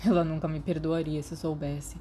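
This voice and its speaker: A woman with a Brazilian Portuguese accent voicing a shy, anxious teenage boy who speaks quietly. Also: deep voice, flat delivery, moderate pace, slight background noise, close to an everyday voice. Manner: quiet, hesitant.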